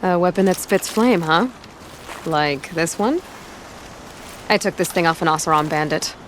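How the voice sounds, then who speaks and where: A woman playing a calm, capable young huntress in a video game, speaking in an understated, wry way. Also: lowish voice, unhurried, slight background noise, natural voice, low-quality recording. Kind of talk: video game dramatic acting.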